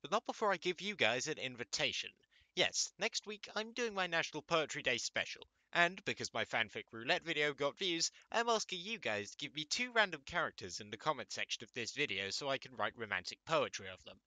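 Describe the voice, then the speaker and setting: Animated, very bright-voiced man, sarcastic commentator. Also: on the high side, brisk, dry recording, poor audio. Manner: sarcastic, mock-exasperated, sweary. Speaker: host of an anime commentary video channel. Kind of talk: scripted comedic commentary. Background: music under the voice.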